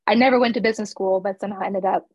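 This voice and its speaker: Husky-voiced woman chatting candidly. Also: medium pitch, fairly even intonation, rapid-fire, a little mellow, no echo. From East Coast of the US. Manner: candid and chatty, with laughs and fillers. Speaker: florist and shop owner. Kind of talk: casual interview chat.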